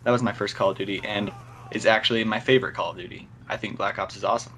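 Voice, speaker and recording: A nineteen-year-old man chatting casually as a guest on a gaming show, halting as he searches for words. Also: fairly low pitch, steady tone, a bit bright, a little hiss or hum. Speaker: guest on a gaming video series. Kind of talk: casual chat over a call.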